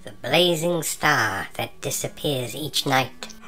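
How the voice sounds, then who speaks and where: A man voicing a calm, learned male moth who speaks with precise, knowing composure. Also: medium pitch, slow, pitched above the performer's own voice, heavily acted. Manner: calm, knowing, slightly superior.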